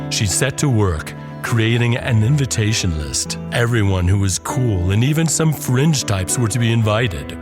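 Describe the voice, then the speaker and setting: Casting: male horror-podcast narrator, low voice, scripted delivery with dramatic pauses, over clear background music. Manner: dramatic pauses and drawn-out phrases.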